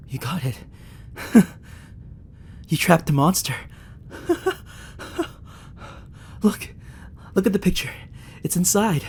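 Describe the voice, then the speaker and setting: A man's voice: fairly high pitch, a little mellow, slight background noise, full character voice. A young man playing a flustered, protective barista who is secretly a time agent, in an ASMR sci-fi roleplay, speaking with stammers. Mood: relieved. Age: young adult. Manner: stammering, breathy sighs and nervous laughs. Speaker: roleplay actor.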